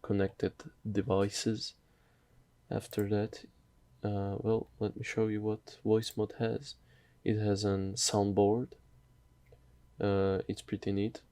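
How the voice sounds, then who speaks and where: A man narrating a casual software tutorial in a hesitant, halting, off-the-cuff way. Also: lowish voice, smooth, no echo.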